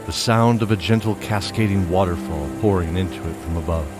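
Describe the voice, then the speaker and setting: A man's voice: deep voice, a little fast, over loud music. A man reading scripted narration for a fantasy audio drama in a descriptive storytelling manner.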